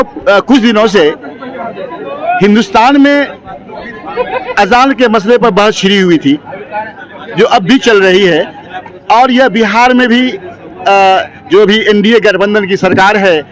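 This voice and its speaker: A man's voice: sits high, low-quality recording. A man working as a news reporter, putting questions in an on-location interview.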